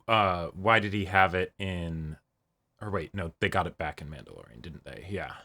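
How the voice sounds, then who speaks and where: A man's voice: low-pitched, normal speed, bright, forceful tone. A man hosting a reaction show, offering casual commentary in brief, approving asides.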